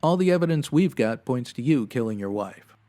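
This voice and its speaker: A man playing a stern, no-nonsense police sergeant with clipped, deadpan authority in 1950s radio detective style. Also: medium pitch, a little fast, close to an everyday voice.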